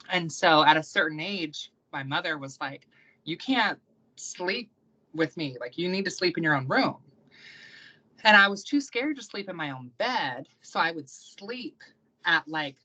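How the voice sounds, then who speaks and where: A young woman from the United States, talking in a low but bright, forceful voice. She rambles in a loose, casual way, with lots of ums and likes as she tells her stories. The sound is a little dull and lo-fi, like a call recording. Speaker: guest, practicing witch and YouTuber. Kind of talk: casual conversational interview.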